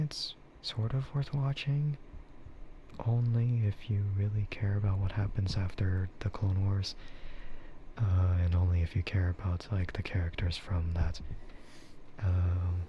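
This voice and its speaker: A man doing casual ASMR stream chat, soft, breathy and mostly whispered, in a hesitant, rambling way. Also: low-pitched. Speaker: streamer, VTuber. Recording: dull, muffled audio with loud ambient noise.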